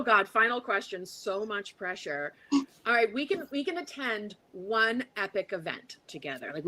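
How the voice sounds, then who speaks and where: A woman's voice: medium pitch, low-quality recording. A middle-aged woman bantering casually as a dating game contestant, playful and full of exclamations.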